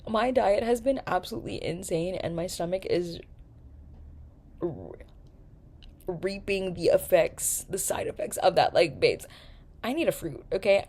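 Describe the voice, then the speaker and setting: A young woman from New York hosting a casual, chatty vlog, swearing and joking as she talks. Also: mid-range voice. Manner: chatty, animated, swears and jokes. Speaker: vlogger, podcast host. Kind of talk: casual chat to camera.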